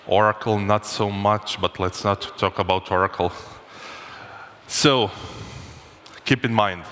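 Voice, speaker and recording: Croatian guy giving a jokey conference talk, lowish voice, bright and forceful, hall echo. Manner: joking, informal, with restarts. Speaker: conference speaker. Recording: long hall reverb.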